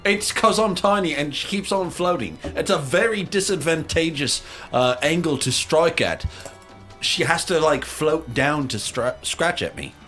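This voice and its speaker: A man's voice: fairly high pitch, expressive, a little slow, a bit bright. A man chatting casually as a player at a tabletop roleplaying game. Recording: music behind the voice. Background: music.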